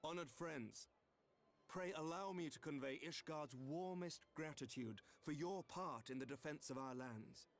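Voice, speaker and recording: An actor playing a courtly, gracious nobleman envoy in a fantasy game, speaking in formal, measured, archaic oratory. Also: a little high. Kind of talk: video game cutscene acting.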